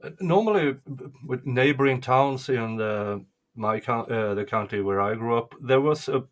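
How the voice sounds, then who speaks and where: A man from Sweden, chatting casually on a stream in an unhurried, thoughtful way with many fillers. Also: medium pitch.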